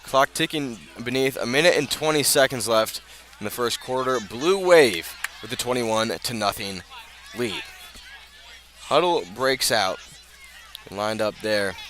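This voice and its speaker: An American man delivers live football play-by-play in a bright, projecting voice. He keeps a measured delivery between plays and builds when the action picks up, as a radio announcer does. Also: medium pitch, lively intonation.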